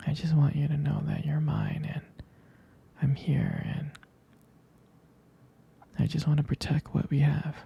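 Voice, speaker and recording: A jealous, possessive young boyfriend played by a man murmurs in a very husky, heavily crackling half-whisper. The tone is very dark and soft. He talks haltingly and tenderly, as intimate ASMR roleplay. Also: a little high, muffled recording, exaggerated voice. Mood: possessive, pleading.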